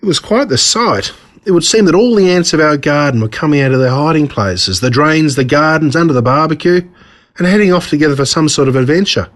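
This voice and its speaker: An Australian man reads a children's story as a narrator, expressively and with dramatic pauses on key words. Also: a little high, expressive, a bit bright. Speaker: author reading own story.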